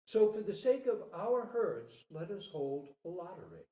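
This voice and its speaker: A man playing a noble, solemn stag leader, grave and deliberate, in a storybook reading. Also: sits high, very animated, dark tone, lo-fi sound. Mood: grave resolve. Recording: roomy, distant microphone.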